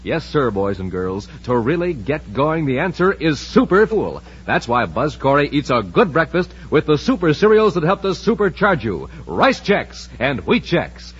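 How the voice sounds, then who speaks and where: High-pitched, excitable vintage male radio announcer. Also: moderate pace, hardly any pauses, husky. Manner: excited, theatrical, punchy salesmanship. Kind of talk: 1950s radio serial announcing and advertising. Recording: dull, hissy vintage radio recording.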